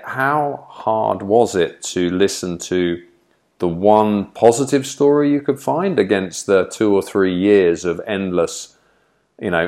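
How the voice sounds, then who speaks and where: A man's voice: sits low, a little mellow. A man hosting an interview, thoughtful, restating his guest's story at length before asking. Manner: thoughtful, rephrases questions at length. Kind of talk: podcast interview.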